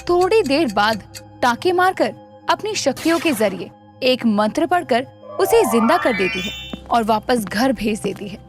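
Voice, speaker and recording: High, lively woman storyteller. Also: measured pace, over music. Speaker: narrator. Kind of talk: scripted dramatic story narration for animation.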